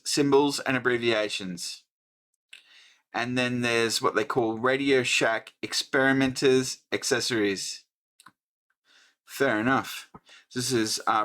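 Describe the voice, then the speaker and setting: A middle-aged man with an Australian accent talks through an old handbook in an unhurried, thinking-aloud way, pausing often and restarting his sentences. His voice is fairly bright with a forward edge, and his pace stays slow and relaxed. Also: medium pitch. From New South Wales, Australia. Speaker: host. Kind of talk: casual hobby electronics book review.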